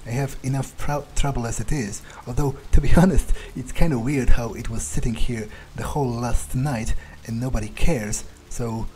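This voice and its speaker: A man narrating a video game playthrough in character, casual and wry, swearing often and filling pauses with ums and uhs. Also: medium pitch, dark tone, slight background noise, rough recording. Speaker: gamer roleplaying his character.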